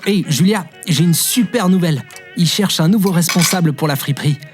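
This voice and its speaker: A goofy, self-deprecating young man, played by an actor, both narrating and living his story in an audio drama. He sounds devoted and sincere. Music is clearly present under the voice. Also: sits high, brisk, lightly acted. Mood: excited. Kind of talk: fiction podcast acting with narration.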